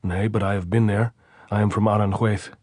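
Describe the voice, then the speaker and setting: Guy as a suspicious post commander, slow and measured, just a bit above the reader's low voice, dry. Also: flat delivery, a little mellow, no room sound, natural voice. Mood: probing.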